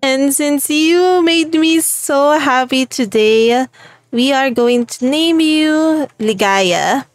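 A young woman with a Filipino accent talks her way through a game, upbeat and chatty and quick to laugh. Her voice sits a little high. The sound is close and dry, with no room around it. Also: expressive, dry recording, faint music behind. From Philippines. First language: Tagalog. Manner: cheerful and chatty, laughs often. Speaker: gaming channel host. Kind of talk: let's play commentary.

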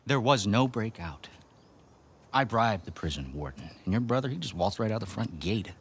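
Smug treasure-hunting game villain, American, low and animated, taunting and sarcastic, outdoor ambience behind. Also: male voice, moderate pace, breathy edge, heavily acted. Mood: taunting, gleeful. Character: smug, mocking male villain, rich treasure hunter. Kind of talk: video game cutscene acting.

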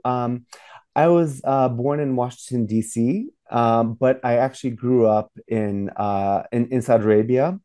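A man's voice: medium pitch, dark tone. A man in his forties, an author and professor, answering interview questions hesitantly with many fillers over a video call from a home office. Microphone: video call webcam.

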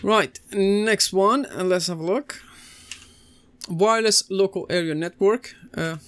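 A man's voice: sits high, unhurried, a bit bright, clean tone, no room sound. A man in his thirties giving a recorded computing lesson over slides, talking conversationally with many fillers and restarts. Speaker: computing teacher. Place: small indoor room, seated at desk.

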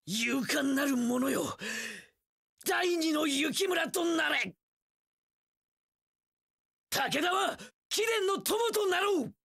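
A man's voice: extremely high pitch, lively intonation, very slow, vocal fry, pauses often, a bit bright, shouting, heavily acted. A man voicing an earnest, fervent young samurai general in a video game, bellowing in formal archaic warrior speech. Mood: fervent shouting. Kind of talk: anime-style video game voice acting.

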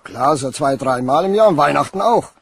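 Lively, higher-voiced country man. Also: moderate pace, vocal fry, breathy edge, full character voice. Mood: chatty, obliging. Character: plain-spoken, obliging village man with a farmyard. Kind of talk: German crime radio play acting.

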